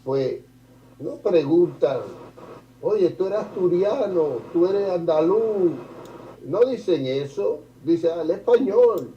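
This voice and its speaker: A man's voice: high-pitched, expressive, takes it slowly, a little hiss or hum. Man on a distant, echoey video call. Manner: tells personal anecdotes, builds points with examples. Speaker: debate participant. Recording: distant, dull, roomy video-call audio.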